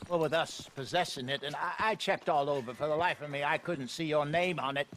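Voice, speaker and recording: An actor playing a smooth-talking male outlaw who bargains in a sly, genial drawl in a video game cutscene. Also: fairly high pitch, brisk, fairly bright tone, a little hiss or hum, natural voice.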